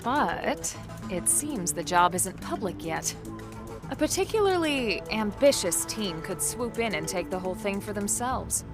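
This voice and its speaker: An actress playing a cool, shrewd squad commander in a game, dry, measured and skeptical. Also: female voice, medium pitch, expressive, lightly acted. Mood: shrewd, calm. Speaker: voice actor in a game cutscene. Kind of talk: game cutscene acting. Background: music.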